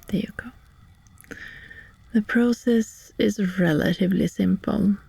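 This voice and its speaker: A woman voicing a friendly, calm sorceress in a whispered ASMR hypnosis roleplay, gentle and reassuring, breathy and soothing, close to the microphone. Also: mid-range voice, dry recording, exaggerated voice. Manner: slow, soothing, deliberate with long pauses. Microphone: close, dry microphone.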